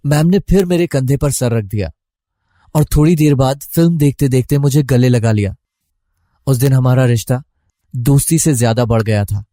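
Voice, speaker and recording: A man's voice: mid-range voice, moderate pace, dark tone, no room sound, studio quality. A young man narrating a scripted first-person story as a college student.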